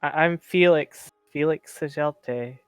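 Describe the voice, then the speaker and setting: A man's voice: fairly high pitch, clear, resonant tone, no echo. Young man, tabletop player, hesitant stammering, dry close sound, faint music behind. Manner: halting, stammering. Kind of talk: tabletop roleplay in character.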